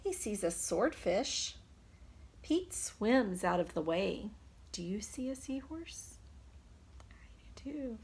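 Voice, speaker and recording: A woman's voice: medium pitch, big pitch swings, slow, long pauses, a little mellow, breathy edge. A woman preschool teacher reading a picture book aloud, expressively, putting simple questions to young children. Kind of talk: children's storytime read-aloud.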